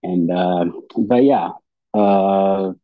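A man's voice: deep voice, extremely slow, no room sound. A man from Brazil with a Brazilian Portuguese accent, speaking at a recovery meeting. He gives a personal testimony and reads aloud, stumbling, repeating words and often restarting. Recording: dull lo-fi dry audio.

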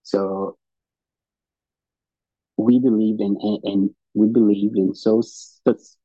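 Halting Brazilian-accented man, husky voice. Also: fairly low pitch, fairly even intonation, warm tone, dry recording. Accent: Brazilian Portuguese. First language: Portuguese. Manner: halting, repeats words and restarts often. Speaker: speaker at a recovery meeting. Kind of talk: personal testimony and reading aloud. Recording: dull lo-fi dry audio.

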